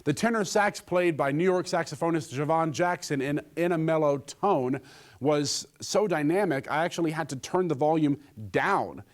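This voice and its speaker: A man's voice: a little high, normal speed. A man presenting a scripted gadget review, with a joking streak in his delivery.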